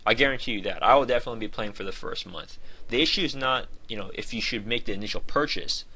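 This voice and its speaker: Bright, forceful man reviewing games. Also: lowish voice, quick pace, rough recording. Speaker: game reviewer. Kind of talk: informal spoken game review.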